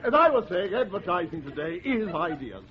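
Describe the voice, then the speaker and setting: An actor playing a pompous, easily flustered advertising executive in a 1940s radio comedy. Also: male voice, high-pitched, very animated, brisk. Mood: self-important. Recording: muffled, noisy 1940s radio, studio audience.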